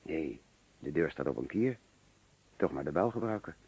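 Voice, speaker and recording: An actor playing a nervous, evasive insurance broker under questioning in a radio play, hesitant, stammering and defensive. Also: male voice, rumbling low, lively intonation, moderate pace, darkish tone, slightly rough, exaggerated voice. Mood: recounting, unsettled.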